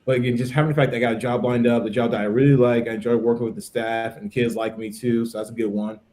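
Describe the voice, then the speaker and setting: A man's voice: mid-range voice, flat delivery, quick pace, darkish tone. Chatty American man in his thirties. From United States. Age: 30s. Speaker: podcast participant, English teacher. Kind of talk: casual conversational interview.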